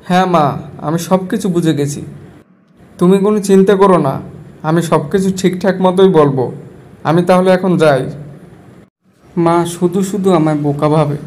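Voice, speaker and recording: A man's voice: fairly high pitch, fairly even intonation, normal speed, long pauses, darkish tone, slight background noise, lightly acted. A man voicing a naive, foolish young son-in-law in a Bangladeshi Bengali cartoon, with a Bangladeshi Bengali accent. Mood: earnest. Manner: earnest, naive and boastful. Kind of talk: cartoon voice acting.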